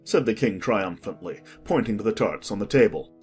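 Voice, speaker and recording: A man narrating an audiobook in a calm, measured way. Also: lowish voice, faint music behind.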